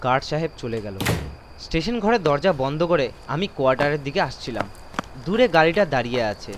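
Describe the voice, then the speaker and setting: A man's voice: on the high side, background noise. A man narrating a first-person horror story in a suspenseful, theatrical manner. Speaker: narrator of audio horror stories.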